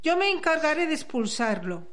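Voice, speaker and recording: A woman's voice: sits high, sing-song, normal speed, fairly bright tone. A woman playing a bold young warrior in an amateur radio drama, with declamatory delivery. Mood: defiant.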